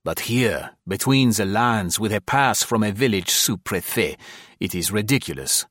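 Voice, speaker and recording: Male reader as a proud bitter young spy, low-pitched, dry. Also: expressive, fairly bright tone, no echo. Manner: bitter and measured.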